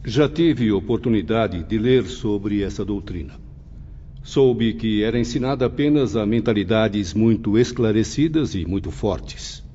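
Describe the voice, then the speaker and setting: Casting: a man playing an eager scholarly pupil in audiobook drama, courteous and inquiring, fairly low voice, reverberant with faint noise. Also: expressive, unhurried, dark tone, dull audio. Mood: earnest, curious. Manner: polite, inquiring, formal. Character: eager, earnest male student, a former professor.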